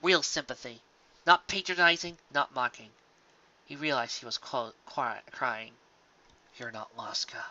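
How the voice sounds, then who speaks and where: A man narrating scripted fiction in a dramatic, emphatic storytelling manner that moves between loud and hushed phrases. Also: medium pitch, animated, unhurried, lo-fi sound.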